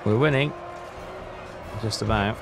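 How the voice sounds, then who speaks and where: A man's voice: on the low side, measured pace, music in the background. A man hosting a gaming video, giving casual commentary and thinking aloud in a chatty way as he plays.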